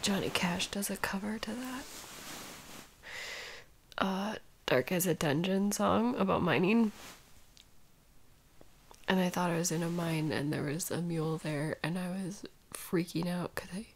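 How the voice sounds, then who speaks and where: A woman playing a sleepy, sick, clingy girlfriend in an intimate audio roleplay, speaking softly and haltingly, often near a whisper. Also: lowish voice, creaky, a little hiss or hum. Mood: sleepy, musing.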